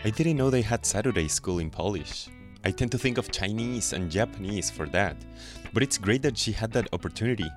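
A man's voice: on the low side, lively intonation, fairly quick, over music. A man in his forties, the podcast's producer, chiming in with brief, prompting lines. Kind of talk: scripted co-host banter.

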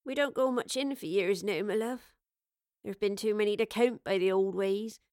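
A woman narrator acts out a kindly old working-class woman of a far-off future. She speaks in homely, colloquial phrases with a weary wistfulness, at a middling female pitch, recorded dry. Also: moderate pace, darkish tone, dry recording, close to an everyday voice. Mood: gentle, resigned.

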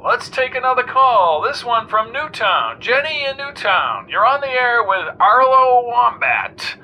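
A man voicing an excitable male radio talk show host with a loud, high, bouncy delivery. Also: unhurried, lo-fi sound, a little hiss or hum, heavily acted. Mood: bubbly.

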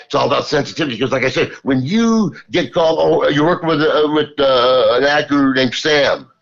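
Gravelly rambling man in his fifties. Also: mid-range voice, brisk, highs cut off. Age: 50s. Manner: joking, rambling with many restarts. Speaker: comedic guest. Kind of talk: casual comedic banter.

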